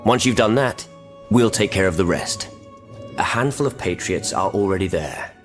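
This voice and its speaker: Earnest, low-voiced revolutionary leader. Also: male voice, animated, normal speed. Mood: earnest, determined. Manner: formal, earnest, rallying. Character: earnest, courteous male French revolutionary leader rallying patriots. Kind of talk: video game voice acting. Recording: game dialogue over music.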